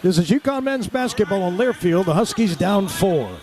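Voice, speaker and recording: High-pitched, lively American male play-by-play announcer. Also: moderate pace. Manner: clipped present-tense phrases. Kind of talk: live radio basketball play-by-play commentary. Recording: clear crowd and arena background noise.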